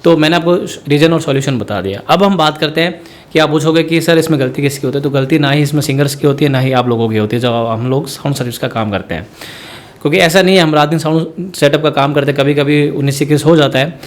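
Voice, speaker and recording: Man, brisk tutorial voice, raised pitch, rambling explainer. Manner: rambling, explanatory, addresses viewers as friends. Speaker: musician teaching sound setup. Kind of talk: spoken tutorial.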